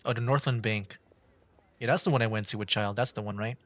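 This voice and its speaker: A man giving casual livestream game commentary in a rambling, halting, easygoing way. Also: fairly low pitch, a bit bright, no echo. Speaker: streamer.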